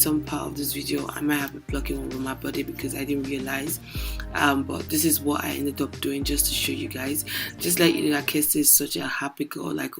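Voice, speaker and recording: A young woman makeup artist with a hoarse, lost voice, narrating a tutorial with many hesitant ums. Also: very deep voice. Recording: music playing underneath.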